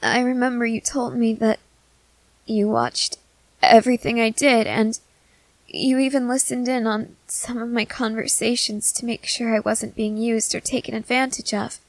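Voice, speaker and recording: A woman voicing a gentle, nervous, newly turned young female vampire who speaks haltingly in an ASMR roleplay. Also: a little high, lively intonation, no room sound, pitched above the performer's own voice, rough recording. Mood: uncertain. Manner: hesitant, halting, soft-hearted. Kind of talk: scripted ASMR audio roleplay.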